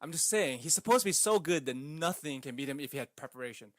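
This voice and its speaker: Male podcast co-host, mid pitch with lively swings, questioning, argumentative, casual, dry sound. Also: fast, no room sound. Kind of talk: casual chat.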